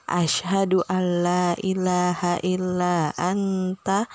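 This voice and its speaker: A woman's voice: lowish voice, a little mellow, no echo. A woman teacher presenting a scripted children's lesson, posing questions and giving the answers. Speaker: primary school teacher.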